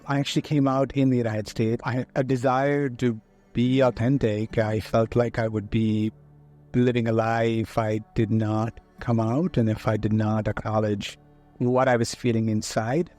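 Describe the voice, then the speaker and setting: Man speaking in a flat voice. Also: medium pitch, moderate pace. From India. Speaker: employee. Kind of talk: interview testimonial. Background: guitar music.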